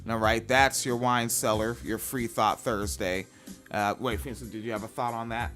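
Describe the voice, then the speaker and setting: A young man hosting a podcast, chatting casually with a profane, squealy, animated way of talking. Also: fairly low pitch, moderate pace, soft background music. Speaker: podcast host. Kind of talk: casual chat.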